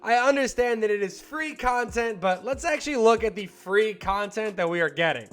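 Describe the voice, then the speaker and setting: A man's voice: high-pitched, moderate pace, a bit bright, soft background music. A young man with a slightly stuffed-up voice giving casual, slangy video game commentary. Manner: hyped, slangy and rambling, with filler words. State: still a little stuffed up from a cold. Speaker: gaming YouTuber.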